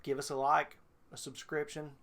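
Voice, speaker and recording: A man's voice: a little high, level tone, normal speed, a bit bright. Man in casual, hyperbolic reaction chat. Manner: exasperated, hyperbolic ranting. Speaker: reaction video host.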